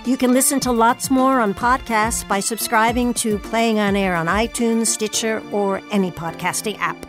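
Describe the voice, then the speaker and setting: A woman's voice: on the high side, steady tone, moderate pace, music in the background. A woman hosting a theatre programme, presenting and speaking as an interviewer and announcer. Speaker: host of a theatre podcast. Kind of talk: hosted interview and scripted credits.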